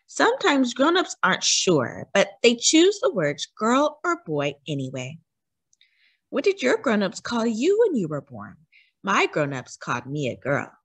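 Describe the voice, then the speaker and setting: A woman reads a picture book aloud for young children. Her medium-pitched voice has a husky edge and swings widely in melody. She is expressive and often turns to her little listeners with a question. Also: unhurried, dry recording. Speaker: read-aloud storyteller for children.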